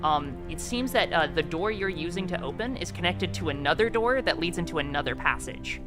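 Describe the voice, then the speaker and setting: A game master narrating a tabletop roleplaying game online in a halting, explanatory way. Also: mid-range voice, expressive. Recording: background music behind the voice.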